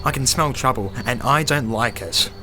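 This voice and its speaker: A woman's voice: very deep voice, normal speed, bright, forceful tone, faint music behind, poor audio. A woman playing a timid, anxious, childlike companion in an audio drama, hesitant and full of worry. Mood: worried, reproachful. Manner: hesitant, worried.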